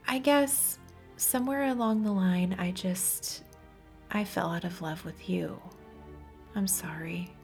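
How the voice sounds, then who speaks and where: A woman voicing a guilty, hesitant young woman in a story, halting and pleading as she speaks to her fiancé. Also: lowish voice, gravelly, darkish tone, soft background music. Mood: sad, resigned. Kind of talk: dramatic audiobook character voice.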